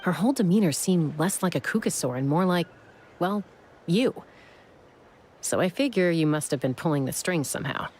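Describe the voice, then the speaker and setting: A woman's voice: lowish voice, expressive, moderate pace, darkish tone, slight background noise, natural voice. A woman playing a cool, composed young warrior in a video game, speaking in a laid-back, assured way. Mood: frank. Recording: music behind the voice.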